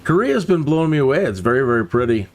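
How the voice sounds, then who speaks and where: A man's voice: medium pitch, very animated, fast, slight background noise. A man hosting a flight simulator livestream, chatting casually and musing aloud as he flies. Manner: musing, speaks thoughts aloud while flying. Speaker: livestream host. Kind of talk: casual chat while flying a sim.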